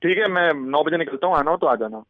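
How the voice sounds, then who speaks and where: A man's voice: fairly high pitch, lively intonation, fast talker, dry recording. Stammering man on a tinny phone line. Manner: stammering, hesitant. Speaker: caller being pranked.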